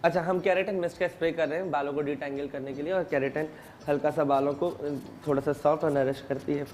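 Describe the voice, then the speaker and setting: A man's voice: on the high side, steady tone, fast talker, a little mellow. A man explaining things casually as a hair stylist on a live show. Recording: noisy studio with crowd behind. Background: crowd and noise.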